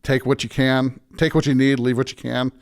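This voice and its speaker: American guy, pastor type, smooth clean voice, casual interview chat, kinda halting and storytelling. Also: mid-range voice, little pitch movement.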